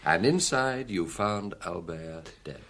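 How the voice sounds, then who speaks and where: A British actor playing a shrewd, avuncular middle-aged police detective in a radio drama, measured, probing and quietly wry. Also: male voice, deep voice, lively intonation, slight background noise, heavily acted.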